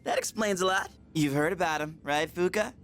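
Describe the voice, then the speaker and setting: A man's voice: high-pitched, brisk, fairly bright tone, a little hiss or hum. A man playing a goofy, teasing teenage boy in an English video game dub, slangy and playful. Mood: knowing, insinuating.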